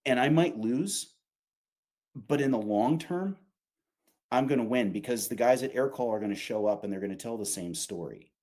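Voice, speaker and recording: A man's voice: medium pitch, normal speed, darkish tone. A man talking informally and emphatically, explaining his company's product in a conversational way with casual asides.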